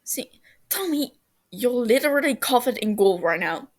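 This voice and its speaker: A woman's voice: fairly high pitch, expressive, moderate pace, voice pushed up. A woman reading a story as a cheeky, blunt teenage boy who affectionately teases his best friend. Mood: teasing. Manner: teasing, blunt.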